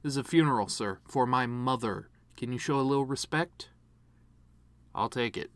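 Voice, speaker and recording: A man performing a dramatic monologue as a bitter, grieving horse giving his mother's eulogy, rambling with sardonic, self-deprecating deadpan humor. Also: medium pitch, moderate pace, no echo, lightly acted. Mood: flippant, bitter.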